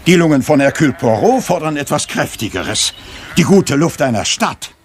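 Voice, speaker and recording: An actor playing a fussy, courteous detective in a German radio crime drama, with a pompous, polite manner. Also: male voice, fairly high pitch, big pitch swings, normal speed, a little hiss or hum. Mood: fussy, disdainful. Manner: polite, pompous, peppered with French words. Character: fussy, courteous Belgian detective, middle-aged man.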